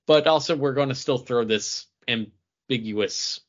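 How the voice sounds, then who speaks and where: A man co-hosting a podcast, chatting casually and haltingly about comic book movies. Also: medium pitch, lo-fi sound. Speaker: podcast co-host.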